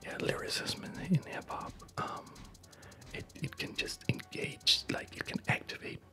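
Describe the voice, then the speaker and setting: A man's voice: pushed and bright, soft background music. A man doing a whispered ASMR gaming commentary, rambling and often stopping to restart his thoughts, close to the microphone. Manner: rambling, halting, self-interrupting. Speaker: ASMR gaming video host.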